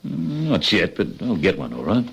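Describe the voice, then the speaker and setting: An actor playing a cocky, impatient Australian criminal ringleader in an old radio crime drama, talking colloquially and persuasively. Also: male voice, deep voice, very animated, normal speed, breathy edge, full character voice. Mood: insistent, scheming.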